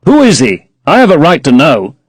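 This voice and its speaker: A man's voice: a little high, wildly swooping pitch, normal speed, dry recording, voice pushed up. A man reading aloud and voicing a sullen, protective young man who speaks bluntly.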